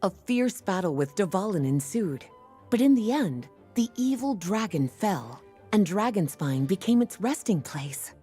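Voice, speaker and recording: A woman voicing a composed, knowledgeable librarian in a video game, explaining things in a measured, storytelling way. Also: mid-range voice, big pitch swings, mellow, husky. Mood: calm explaining. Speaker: librarian character. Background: game music.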